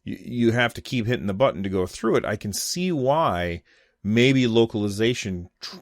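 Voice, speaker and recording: Low-voiced American man, casual solo commentary. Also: no room sound, clean studio sound. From United States. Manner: conversational, thinking aloud in loose unscripted phrases. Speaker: podcast host giving gaming commentary.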